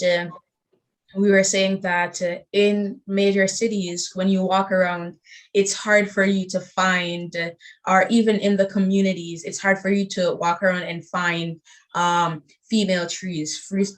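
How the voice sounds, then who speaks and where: A woman from Jamaica speaks on a panel discussion. She explains slowly through examples in a measured way. Her voice sits a little low. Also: level tone. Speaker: youth workshop facilitator.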